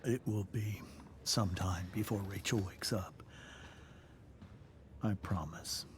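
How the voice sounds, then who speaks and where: A man playing a grave, weary middle-aged father in a video game, measured and solemn. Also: lowish voice, steady tone, noisy background. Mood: grave, grateful. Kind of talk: naturalistic video game acting.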